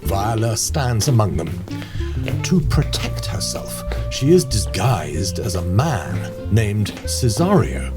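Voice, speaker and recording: Guy narrating a scripted story, lively swooping delivery, measured storyteller feel, soft music behind. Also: fairly low pitch. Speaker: narrator of an audio play.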